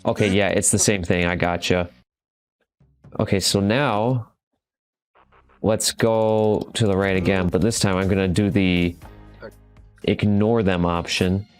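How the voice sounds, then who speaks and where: A man giving casual, halting playthrough commentary as the host of a gaming video, rambling as he goes. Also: sits low, steady tone, clear, resonant tone, soft background music.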